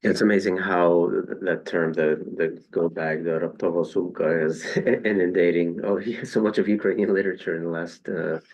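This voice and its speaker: A man moderating a literary discussion, speaking in brief relaxed remarks. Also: deep voice, normal speed, hardly any pauses, rough recording. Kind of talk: conversational discussion.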